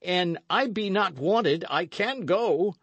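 A man voicing a drunken old male outlaw disguised as a monk, tipsy and hiccuping, with a boisterous, slurring delivery in a higher, slower voice than his own. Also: animated, a bit bright, breathy edge, no echo, muffled recording, exaggerated voice. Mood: sulky.

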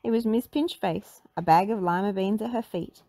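A woman narrating a children's storybook in an animated read-aloud style. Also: mid-range voice, moderate pace, dry recording.

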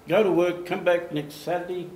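An older man from Australia, giving an animated storytelling talk at a lectern in a meeting room. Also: high-pitched, normal speed. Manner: animated, gestures, acts out quoted voices. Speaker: speaker giving a slide talk. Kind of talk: storytelling presentation. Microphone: far camera. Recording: echoey room, faint ambience.

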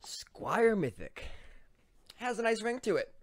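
Woman voicing a cocky young male squire, swooping pitch. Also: sing-song, slow pace, no room sound. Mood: smug, relaxed. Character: young male unicorn squire, cocky, self-conscious, scheming. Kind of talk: animated web series voice acting.

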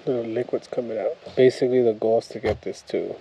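A relaxed man in his thirties talks through his camp setup in a forest, chatty and hands-on. He is recorded on an action camera outdoors, with steady outdoor noise behind him. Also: mid-range voice, quick pace, poor audio. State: hushed early-morning talk. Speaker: moto camping vlogger. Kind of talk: casual vlog narration. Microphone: action camera, chest-mounted or handheld.

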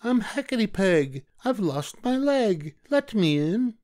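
A man voicing a sly old one-legged witch in a storybook tale, speaking slowly in a high, strained, wheedling voice. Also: sing-song, a little mellow, full character voice.

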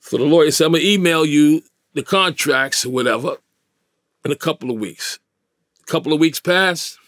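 A man in his fifties with a gravelly voice and a New York accent, telling a story in slangy, emphatic, streetwise interview talk. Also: fairly high pitch, moderate pace, fairly bright tone, no echo, clean studio sound. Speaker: interview guest. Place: small indoor recording studio, dry sound.

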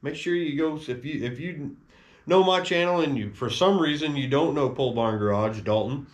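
A man's voice: on the high side, normal speed. A man hosting a car channel, talking in a laid-back, rambling, folksy way. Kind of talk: casual vlog chat.

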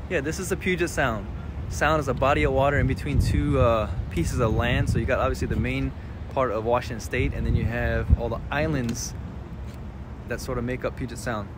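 A man in his thirties talks upbeat and chatty to his viewers, pointing out the sights. He films himself on a phone held at arm's length on a sunny waterfront deck. Steady outdoor noise sits clearly under his voice. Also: medium pitch, brisk. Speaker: vlogger. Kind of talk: casual travel vlog. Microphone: phone camera held at arm's length.

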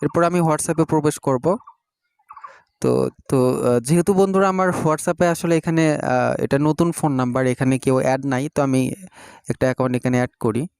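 A young man hosting a screen-recorded phone app tutorial, talking slowly and hesitantly with drawn-out fillers. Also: mid-range voice, fairly even intonation, gravelly, a little mellow, clear, resonant tone, dry recording, clean studio sound. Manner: hesitant, many drawn-out fillers while clicking. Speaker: tech tutorial host.

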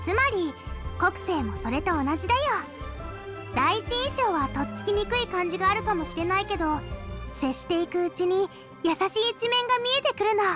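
A woman's voice: extremely high pitch, lively intonation, a little fast, over music. A woman playing a kindly, cheerful teenage girl cook in an anime-style game, girlish, friendly and easygoing. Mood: warm, easygoing.

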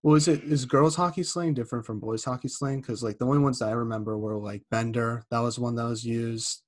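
A young man hosting a podcast interview over a video call, asking relaxed, rambling questions. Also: medium pitch, a little fast. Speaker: podcast host. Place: small indoor room. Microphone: laptop webcam on video call.

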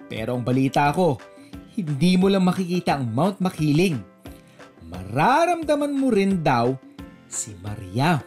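A woman's voice: on the low side, darkish tone. A woman with a Filipino accent reading a scripted voice-over narration for a show. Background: light background music.

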